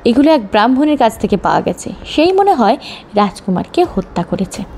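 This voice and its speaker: Woman voicing a scheming goldsmith man, high-pitched. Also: very animated, brisk, darkish tone, a little hiss or hum. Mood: accusing. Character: scheming male goldsmith, indignant and accusing.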